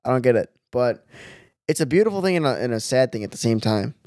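A young man philosophizing in casual podcast chat. He has a middle-pitched voice, recorded dry and close to the mic, and lays out his reasoning step by step. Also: animated, fast, a little mellow, no echo. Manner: explanatory, philosophizing. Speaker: podcast co-host.

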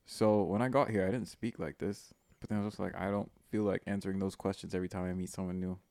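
A man's voice: deep voice, steady tone, fast talker, vocal fry, a little mellow, dry recording, studio quality. A man with a Kenyan accent, a guest in a casual podcast chat with friends, talking in a thoughtful way.

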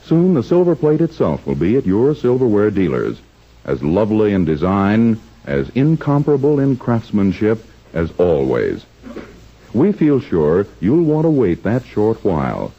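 A man with an American accent and husky voice reading a polished, emphatic 1940s radio advertisement, on a muffled old recording. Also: on the low side. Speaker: radio announcer.

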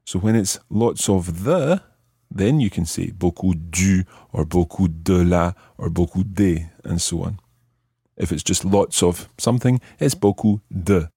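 A man hosting a language lesson, explaining in a deliberate way and repeating phrases word by word. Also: low-pitched, mellow, husky, no echo, clean studio sound. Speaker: language teacher and podcast host.

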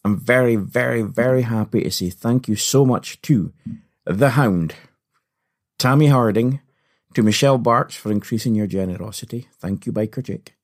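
A man's voice: mid-range voice, normal speed. A Scottish man hosting a story podcast, narrating in the first person in a folksy, confiding way.

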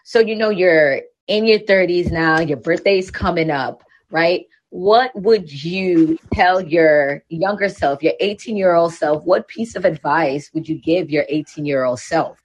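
A woman's voice: lowish voice, measured pace, few pauses, low-quality recording. A woman hosting a podcast interview, chatty and encouraging toward her guest. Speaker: podcast host. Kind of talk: casual interview.

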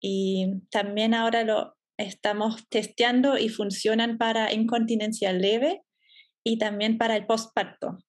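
A German woman in her thirties, guest on a podcast interview, talking about her business in a relaxed way and laughing easily. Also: on the high side, a little slow. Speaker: entrepreneur guest.

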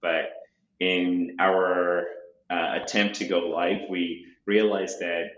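A man's voice: deep voice, level tone, takes it slowly, a bit bright. A man from Zimbabwe, a startup founder guest on a podcast, explaining his career path steadily with frequent "uh" fillers. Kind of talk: podcast interview, telling his career story. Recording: roomy, dull remote audio.

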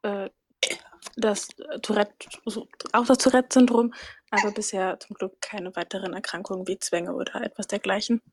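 A young woman, eighteen years old, speaks as a podcast guest. Her pitch is average for a woman and she introduces herself in a casual, conversational way. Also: fairly even intonation, moderate pace, husky.

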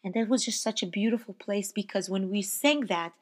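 A woman with a hoarse voice talking reflectively about leading a service, heartfelt, often dropping to a soft, quiet tone. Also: mid-range voice. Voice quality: sings slow sustained hymn lines. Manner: slow, heartfelt, softens to near-whispers. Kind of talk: reflective personal talk.

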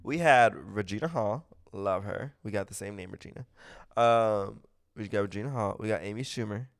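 A man's voice: fairly low pitch, normal speed, vocal fry, faint music behind. A man hosting a podcast in a casual, gossipy chat, talking with a stuffy nose and gushing, opinionated comments. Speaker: podcast host.

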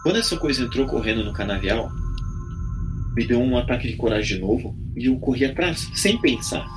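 A man's voice: medium pitch, expressive, brisk, poor audio. A Brazilian man telling a personal story in a casual, colloquial way, as a podcast guest. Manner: colloquial, acts out his own quoted reactions. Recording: music playing behind the voice. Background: music.

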